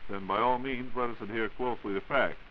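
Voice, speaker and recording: A man's voice: mid-range voice, fairly even intonation, a little fast. An American man hosting a scripted 1940s radio show in a wry, folksy manner. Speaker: radio host. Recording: muffled, hissy 1940s radio recording.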